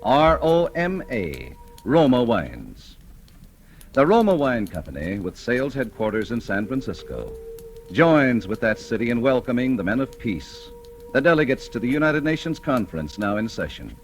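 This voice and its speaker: American man, lively swooping intonation, formal emphatic radio pitchman, 1940s broadcast, faint music. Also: moderate pace. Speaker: sponsor announcer. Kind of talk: 1940s radio advertising announcer, scripted.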